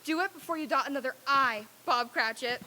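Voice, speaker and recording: A woman's voice: high-pitched, a little fast, bright, forceful tone. A woman playing a reformed, overjoyed old miser in a theatrical old-time radio drama reading, with exclamatory delivery. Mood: hearty.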